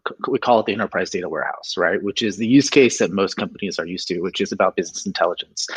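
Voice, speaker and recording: A man's voice: fairly low pitch, husky, no echo, rough recording. A man speaking as an executive guest in an interview, explaining things conversationally and often checking in with "right?". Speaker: tech sales strategy executive, interview guest.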